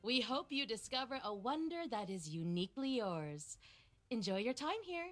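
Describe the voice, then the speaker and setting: A woman's voice: fairly high pitch, very animated, normal speed. An actress playing a polished, polite female expo attendant in a video game, formal and welcoming. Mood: courteous, welcoming.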